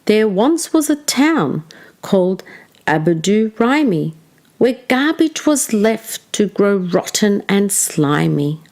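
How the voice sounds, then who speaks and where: Female storytime teacher, unhurried delivery with animated intonation, encouraging, addressing children directly. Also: mid-range voice. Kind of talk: children's storytime lesson, expressive read-aloud.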